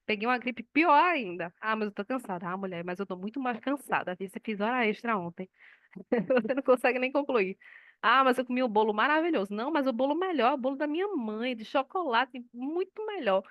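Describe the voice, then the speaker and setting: A woman's voice: fairly high pitch, lively intonation, brisk, no echo, highs cut off. Chatty woman teaching a class. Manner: conversational, asks the class questions, acts out quoted dialogue. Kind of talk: live online class lecture.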